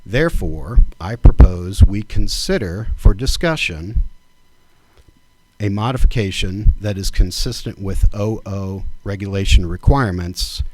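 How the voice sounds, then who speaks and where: Measured low-voiced man reading a proposal. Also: animated, a little mellow, no room sound, poor audio. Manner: measured, deliberate, reads items one by one. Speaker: township trustee. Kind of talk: reading a formal proposal at a public meeting. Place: township meeting room.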